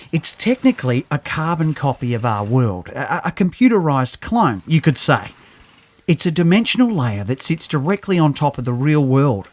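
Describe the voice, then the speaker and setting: A nerdy male scientist in an audio drama. His voice is fairly high, and he rambles hesitantly, stammering over words.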